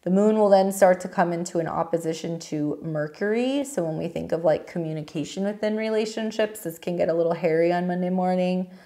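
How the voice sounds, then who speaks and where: A woman hosting a weekly astrology forecast in a casual, rambling way, her voice hoarse from a cold. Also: lowish voice, brisk, hardly any pauses, mellow. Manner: rambling, lots of fillers and restarts. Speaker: astrology channel host.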